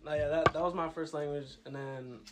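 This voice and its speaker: A man's voice: fairly high pitch, fairly even intonation, fairly quick, loud music behind the voice. A young man from Massachusetts whose first language is Ukrainian, a guest talking casually on a podcast with many fillers. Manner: laid-back, filler-heavy, laughs often. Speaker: guest rapper.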